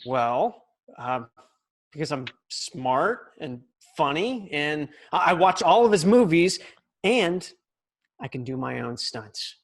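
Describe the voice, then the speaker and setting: Young sitcom boy, eager, earnest, slow-paced, lively intonation. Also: a little high, full character voice. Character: eager, cocky young boy wanting martial arts glory. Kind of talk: sitcom table read over video call.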